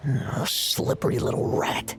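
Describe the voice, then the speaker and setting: A woman voicing a menacing, mocking hunter in a video game, taunting in a drawn-out way. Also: lightly acted. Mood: taunting menace.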